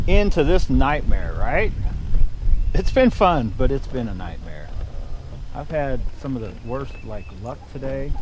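A middle-aged man chatting casually as he fishes from a small boat on a river, rambling along and getting excited when a fish bites, recorded on an action camera outdoors. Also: medium pitch, background noise. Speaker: fishing vlogger. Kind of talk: casual running commentary while fishing. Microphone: body-mounted action camera.

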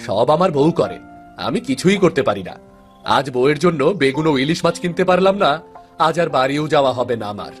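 A man's voice: on the high side, expressive, fairly quick, soft background music, natural voice. A man playing a simple-minded village husband in a cartoon folktale, childlike, earnest and whiny. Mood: naive, sad. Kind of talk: fairy-tale cartoon acting.

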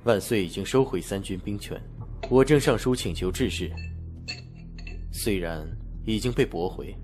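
A weary general-prince of an imperial court, acted by a man for a period radio play. His voice sits fairly low and he speaks in measured, formal phrases, guarded throughout. Faint music plays behind him. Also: darkish tone, natural voice. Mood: somber. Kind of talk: Chinese period audio drama acting.

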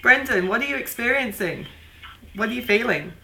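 A woman's voice: a little high, lively intonation, normal speed, bright, forceful tone, low-quality recording. Woman chatting, faint background noise. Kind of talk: casual chat on speakerphone. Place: dim living room. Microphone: handheld camera nearby. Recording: faint noise, handheld camera indoors.

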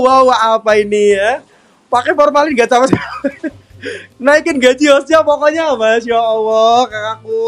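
A man hosting a live-selling stream, chatting upbeat and reading viewer comments aloud in a bakery kitchen. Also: very high-pitched. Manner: chatty and upbeat, constantly addressing viewers warmly. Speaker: live-stream sales host.

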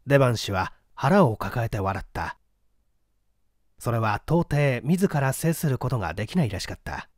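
A man's voice: medium pitch, very animated, a little slow, no echo, clean studio sound. A man narrating a literary story as an audiobook reader, in a scripted style.

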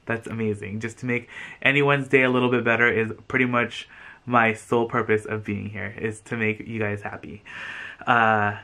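A man's voice: on the low side, flat delivery, moderate pace, pushed and bright. An American man in his thirties talking casually to the camera as a vlogger, effusive and rambling, with lots of "like". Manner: effusive, chatty, rambling with frequent "like". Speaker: vlogger and thrift reseller. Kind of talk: casual chatty vlog to camera. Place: small furnished room indoors, on a sofa.

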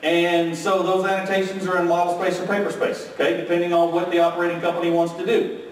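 A man's voice: high voice, completely flat delivery, quick pace. A male conference presenter giving a technical keynote, talking energetically and checking in with "okay?" and "right?" as he goes. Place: large hotel conference room with audience. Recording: very echoey ballroom from far off.

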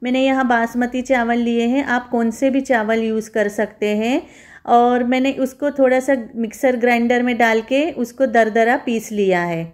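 A woman's voice: on the high side, fairly even intonation, normal speed, darkish tone. A woman home cook giving a step-by-step recipe voice-over, instructing viewers in a friendly, familiar form of address.

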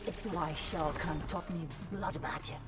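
A woman's voice: fairly low pitch, fairly even intonation, measured pace, natural voice. A woman playing a bloodthirsty, sinister sorceress in a fighting game. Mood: sinister craving. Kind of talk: fighting game character dialogue. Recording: loud game music behind.

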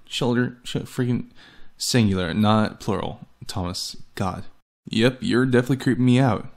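A man's voice: mid-range voice, moderate pace, a little mellow. A man giving a comic dramatic reading of text messages, with sardonic, exasperated delivery. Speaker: host and voice actor. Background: background music.

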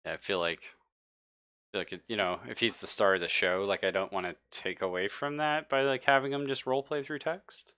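A man speaking casually and haltingly as game master of an online tabletop game, thinking aloud. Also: fairly low pitch, sharp tone, clean tone, no echo, studio quality.

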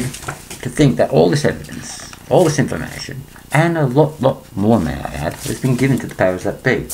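An older man from England, speaking into a laptop webcam in a small room, rambling and clearing his throat between points. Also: fairly low pitch, darkish tone, a little hiss or hum, poor audio. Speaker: vlogger. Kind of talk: rambling conspiracy monologue to webcam.

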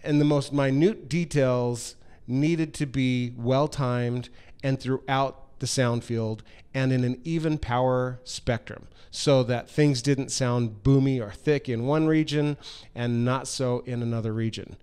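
A middle-aged man giving an informal product presentation as a company presenter, halting and pausing mid-phrase as he explains the design, speaking into a handheld microphone. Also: medium pitch, fairly even intonation, darkish tone, clean tone. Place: large hard-walled workshop room, indoors. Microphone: handheld microphone held close.

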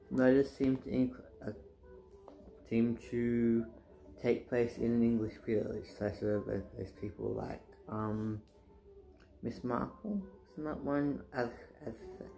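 Young man, soft deep voice, gravelly, hesitant rambling chat on a couch, camera close by, faint music behind. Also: poor audio. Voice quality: soft-spoken, says his voice is soft and deep. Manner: rambling, hesitant, many fillers and restarts. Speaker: booktuber. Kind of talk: casual chat. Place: living room, indoors, sitting on couch.

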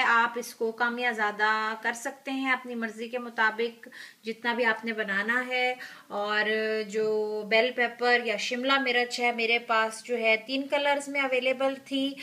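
A woman hosting a cooking show, giving unhurried step-by-step instructions in a home kitchen with frequent filler pauses. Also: a little high, bright, forceful tone.

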